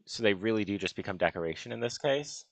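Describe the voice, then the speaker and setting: A man with a gravelly voice giving casual gaming commentary as he builds, musing and hesitating as he talks to himself. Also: on the low side, lively intonation, fast, no room sound. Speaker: gaming video host. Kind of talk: casual Minecraft building commentary, thinking aloud.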